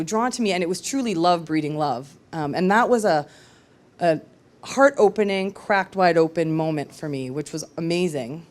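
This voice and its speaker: Brief: woman storyteller on stage, slightly low voice, candid and reflective, halting now and then. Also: a little mellow. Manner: candid, reflective, with frequent hesitations. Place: live storytelling event with audience.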